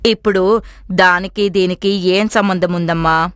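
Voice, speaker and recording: A woman's voice: fairly low pitch, steady tone, unhurried, fairly bright tone, clear, resonant tone, dry recording, studio quality. A woman voicing a strong-willed, persuasive middle-aged mother-in-law in a family story cartoon. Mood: concerned, puzzled.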